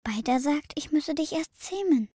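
An earnest young girl in a children's radio play. Her voice sits fairly high, and she speaks with a stubborn sincerity that can turn to pleading. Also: expressive, moderate pace, warm tone, dry recording, clean studio sound. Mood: anxious, pleading.

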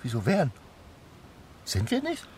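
An actor playing a tiny, stubborn, childlike little gentleman in a children's radio play, in clipped short phrases. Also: mid-range voice, wildly swooping pitch, a little slow, a little hiss or hum. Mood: curious, dry.

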